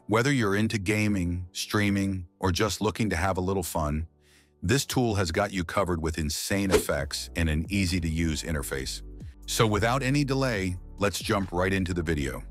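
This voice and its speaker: A man hosting a scripted software tutorial, narrating as the presenter. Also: low-pitched, normal speed, soft background music. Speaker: YouTube tutorial host.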